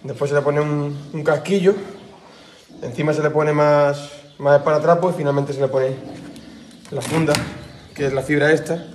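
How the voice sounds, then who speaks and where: A man's voice: a little high, steady tone, normal speed, long pauses. A man in his thirties with a Spain Spanish accent, giving an informal explanatory demonstration like a farmer showing a task. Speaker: cattle breeder demonstrating horn care. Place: cattle handling pen with metal chutes. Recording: echoey, noisy farm shed with clanking.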